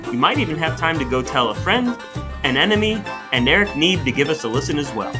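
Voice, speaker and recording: A man's voice: on the high side, very animated, brisk. A man hosting a podcast, reading a scripted outro in a playful, mock-formal way. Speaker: podcast host. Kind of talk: scripted sign-off narration. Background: music.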